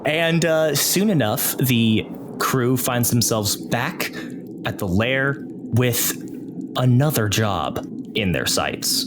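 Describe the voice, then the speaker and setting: A man narrating a tabletop game as game master, in a measured way. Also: medium pitch, expressive, noisy background. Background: loud music.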